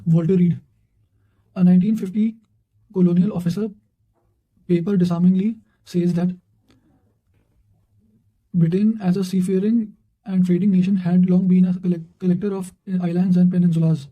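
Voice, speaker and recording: A man reading study quotations aloud in a halting way, often stumbling and repeating words. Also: sits high, flat delivery, dark tone, muffled recording. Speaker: narrator reading revision notes.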